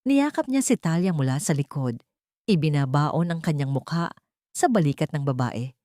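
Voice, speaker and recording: A woman tells a dramatic story in a somewhat low voice. Her phrasing is measured and sentimental, like a scripted audio drama. The sound is very dry, with no room on it. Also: lively intonation, dark tone, no room sound.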